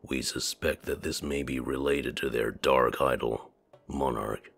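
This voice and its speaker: Rumbling, husky ancient male elder. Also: fairly even intonation, unhurried, a bit bright, faint music behind, full character voice. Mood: solemn. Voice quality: very deep, gravelly, husky. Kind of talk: visual novel character voice.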